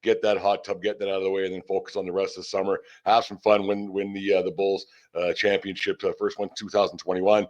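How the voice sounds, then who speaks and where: A man's voice: low voice, flat delivery, fast, few pauses, a little mellow. An older man hosting a casual sports podcast in a folksy, rambling, talkative way. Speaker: host of a baseball team podcast. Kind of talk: casual sports interview and chat. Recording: dull, band-limited sound.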